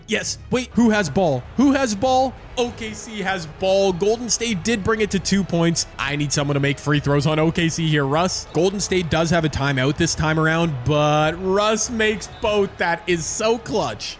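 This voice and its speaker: Excitable casual young-sounding man commentating. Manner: energetic, casual, slangy, pitch jumps with excitement. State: losing his voice. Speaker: gaming YouTuber. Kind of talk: excited play-by-play commentary over a video game simulation.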